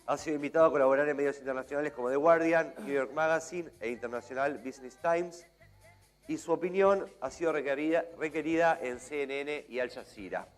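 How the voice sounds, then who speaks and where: A man introducing a conference speaker, reading a biography in a measured, slightly halting way. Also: on the high side, soft background music. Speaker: event host.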